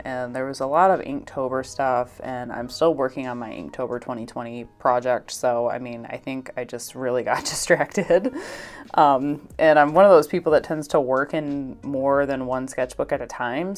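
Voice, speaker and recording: Stuffed-up low-voiced woman chatting. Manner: chatty, rambling, many fillers and soft laughs. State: congested, stuffed up. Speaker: art vlogger. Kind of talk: casual voice-over while painting.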